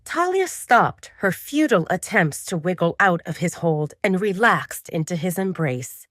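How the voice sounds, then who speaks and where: A woman reads romance fiction as a dramatic storyteller. Her pitch sits slightly low for a woman and moves around a great deal. The sound is very dry and close, with no room around it. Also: no echo. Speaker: audio drama narrator. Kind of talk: scripted romance fiction narration.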